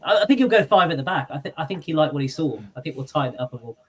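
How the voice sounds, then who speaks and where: A man's voice: on the high side, animated, racing, fairly bright tone, slightly rough. A man co-hosting a football podcast, rambling casually and poking fun at himself. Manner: rambling, self-deprecating storyteller. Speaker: podcast co-host. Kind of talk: casual chat.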